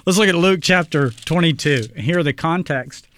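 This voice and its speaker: A man from Oklahoma hosting a Bible study discussion, speaking in a measured way and reading scripture aloud. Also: fairly high pitch, a bit bright. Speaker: podcast host, Bible teacher.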